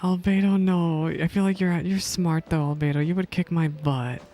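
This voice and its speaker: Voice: mid-range voice, steady tone, moderate pace, mellow. A gaming streamer giving casual, informal reaction commentary, often exclaiming and repeating words. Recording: voice over game music. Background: video game music.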